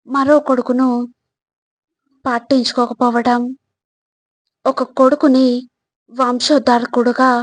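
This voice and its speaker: Slow, even-toned woman narrating motivational advice. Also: on the high side, dry recording.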